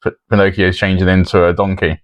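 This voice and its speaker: A man's voice: deep voice, little pitch movement, quick pace, dry recording, highs cut off. A man co-hosting a comedy podcast, chatting casually and keeping score. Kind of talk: casual comedy panel chat.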